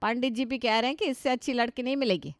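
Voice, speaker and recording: A woman's voice: fairly high pitch, fast, dry recording, studio quality, natural voice. A woman voicing a bossy middle-aged Indian mother in a story, firm, scolding and emphatic. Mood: insistent. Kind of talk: animated storybook dialogue.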